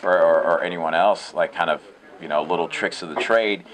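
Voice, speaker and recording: Deep-voiced guy in his 30s, chill, lots of um and you know, thinking out loud. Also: normal speed. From United States. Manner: relaxed and reflective, many fillers and restarts. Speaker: actor. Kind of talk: casual interview. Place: open shed with concrete pillars.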